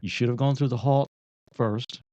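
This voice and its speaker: A man co-hosting a casual advice talk, explaining things deliberately and checking in with his listener, on a slightly muffled recording. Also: medium pitch, steady tone, mellow, no room sound. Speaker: podcast co-host.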